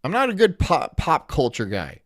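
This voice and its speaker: A young man from Florida chatting casually on a live stream, rambling through jokes and deadpan absurd asides. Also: on the high side, moderate pace, dry recording. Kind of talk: casual live-stream chat reacting to a video.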